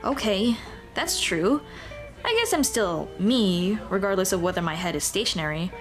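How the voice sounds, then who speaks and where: A woman playing a shy, earnest Dullahan schoolteacher in an ASMR roleplay, speaking softly and expressively. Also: medium pitch, big pitch swings, moderate pace, over music, close to an everyday voice. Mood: self-conscious. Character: shy, earnest female Dullahan teacher, headless monster girl on a date.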